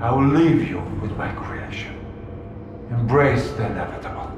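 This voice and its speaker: A man's voice: medium pitch, animated, normal speed, muffled recording, close to an everyday voice. A man acting a grandiose, fanatical villain doctor in a video game, preaching doom as salvation in a pompous, sermonizing way. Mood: zealous. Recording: very echoey, distant, under loud music.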